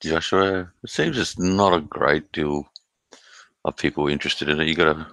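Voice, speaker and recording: Man, very low pitch, bright forceful tone, dry close sound, casual banter. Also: normal speed, vocal fry, no echo. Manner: relaxed, bantering, swears casually. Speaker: live stream host. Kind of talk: casual chat.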